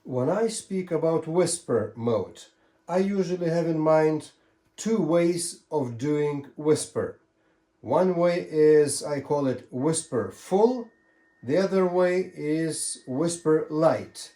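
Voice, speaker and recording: Slow-talking man. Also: fairly high pitch, lively intonation, warm tone. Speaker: ham radio video presenter. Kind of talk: explanatory hobby demonstration.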